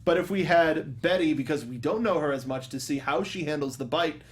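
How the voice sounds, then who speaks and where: Male panel-show host, slightly high voice, conversational, riffs and acts out imagined lines. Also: a little fast. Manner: acts out mock quotes while riffing. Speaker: host of a film review show. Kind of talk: casual panel discussion.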